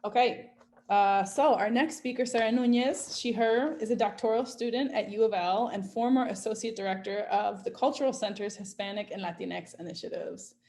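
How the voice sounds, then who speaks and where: A woman's voice: a little high, moderate pace, darkish tone. A woman moderating a panel discussion on a video call, introducing speakers in a friendly, organized way.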